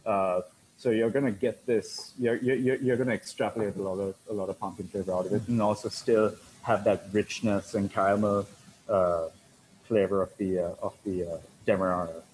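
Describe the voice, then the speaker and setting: A man's voice: on the low side, steady tone, normal speed, a little mellow, a little hiss or hum, poor audio. Easygoing man in his thirties. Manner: easygoing, repeats words while explaining. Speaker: bartender. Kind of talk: cocktail demonstration. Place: dim bar set, indoors.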